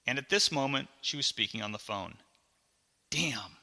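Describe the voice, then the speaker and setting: A man reading his own memoir as a scripted audiobook, with dramatic, deliberate storytelling. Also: medium pitch, creaky, bright, forceful tone, in a large hall.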